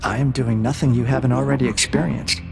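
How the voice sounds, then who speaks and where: A man playing a cold, calculating AI interrogator in an audio drama, speaking in a measured, probing, condescending way with a gravelly edge. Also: medium pitch, fairly even intonation, background noise. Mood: calm menace. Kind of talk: scripted audio drama dialogue.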